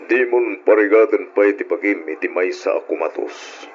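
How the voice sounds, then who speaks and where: A man's voice: lowish voice, moderate pace, lightly acted. A man playing a pushy, lecherous customer, insinuating and theatrical in an old-style radio drama. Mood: leering, insinuating. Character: lecherous, pushy male customer preying on a lonely wife. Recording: muffled radio recording, faint noise.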